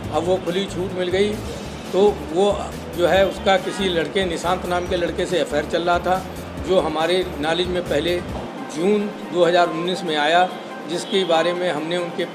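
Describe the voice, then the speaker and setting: An older man giving a statement in an interview, speaking in deliberate, halting phrases. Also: sits high, low-quality recording. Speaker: interviewee, father-in-law giving his side. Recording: loud music over the speech. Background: loud music.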